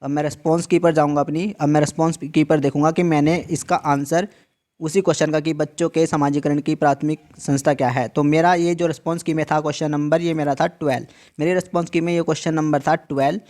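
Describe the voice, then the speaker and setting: A man's voice: on the high side, fairly even intonation, fast, darkish tone. A man explaining an exam answer key in a tutorial, repeating each instruction and checking in often. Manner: repetitive, emphatic instructions with frequent "theek hai" check-ins. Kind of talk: screen-share tutorial walkthrough.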